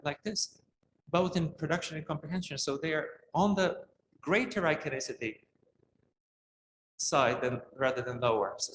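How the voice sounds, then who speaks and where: A man in an unscripted academic discussion, thinking aloud in a halting way as a conference participant. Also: on the high side. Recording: roomy, clearly noisy live hall recording.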